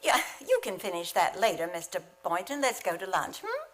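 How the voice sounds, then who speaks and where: A woman playing a wry, quick-witted high school English teacher in an old-time radio comedy. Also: huge pitch swings. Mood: dry, teasing.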